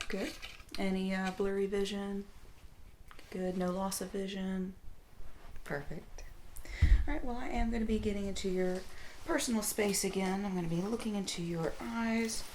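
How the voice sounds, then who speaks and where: A woman plays a doctor in a soft-spoken ASMR roleplay. Her medium-pitched voice is gentle and close to the mic, often dropping into a whisper. There is light noise and clicking in the recording. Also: normal speed, rough recording. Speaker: ASMR performer playing a doctor.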